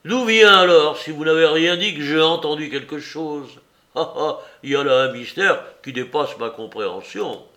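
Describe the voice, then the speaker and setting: A cranky old office clerk bursts out in sputtering indignation, as a male reader puts him on. The voice sits high and loud and swings wide in pitch. He is a touchy, easily offended storybook figure. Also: expressive, unhurried, vocal fry. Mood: furious. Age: older.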